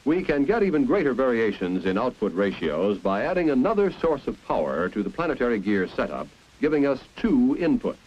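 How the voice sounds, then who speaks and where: An American man reading scripted 1950s instructional film narration in a clear, deliberate, explanatory manner. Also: medium pitch, big pitch swings. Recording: dull, band-limited 1950s film soundtrack.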